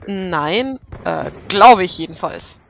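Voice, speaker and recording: A woman voicing a bossy, sharp-tongued cartoon pony princess in a fan audio drama, with dry, teasing sarcasm. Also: on the low side, lively intonation, slow, gravelly, slight background noise, heavily acted. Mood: curt, evasive.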